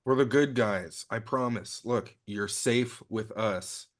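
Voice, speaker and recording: A man's voice: on the low side, takes it slowly. A man reading the part of a serious, easily exasperated gunslinger on a mission, in a clipped, scolding way. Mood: reassuring, then commanding. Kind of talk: casual table read of a script.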